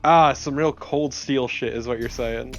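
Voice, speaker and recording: A man's voice: medium pitch, a little slow, creaky. Wry man bantering over a game. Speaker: let's play co-commentator. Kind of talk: casual gaming banter. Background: game music and sounds.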